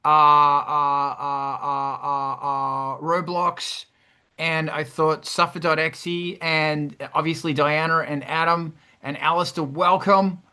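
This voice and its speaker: A man with a fairly high voice and a bright, forceful tone. He hosts in a casual livestream style, chatty and effusive. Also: little pitch movement, slow pace, smooth. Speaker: host.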